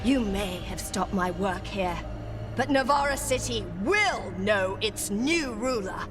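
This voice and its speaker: Actress as a haughty, sneering necromancer villainess over loud music. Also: female voice, mid-range voice, expressive, unhurried, close to an everyday voice. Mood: contemptuous, gloating. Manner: sneering, theatrical, lofty formal diction. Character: haughty, scornful, power-hungry female necromancer villain. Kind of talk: video game voice acting.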